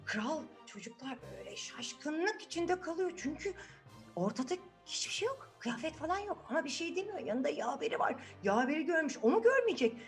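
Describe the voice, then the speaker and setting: High-voiced woman telling children a fairy tale. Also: big pitch swings, a little fast, faint music behind. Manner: conversational, addresses children, often checks they follow. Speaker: children's storyteller.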